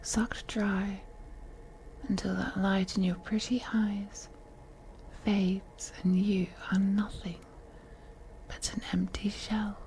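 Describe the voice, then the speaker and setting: A woman playing a wealthy, possessive female vampire who toys with her prey in a soft, teasing, whispery voice for an intimate audio roleplay. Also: medium pitch, little pitch movement, slight background noise. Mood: possessive, menacing. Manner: slow, teasing, purring, often slipping into whispers.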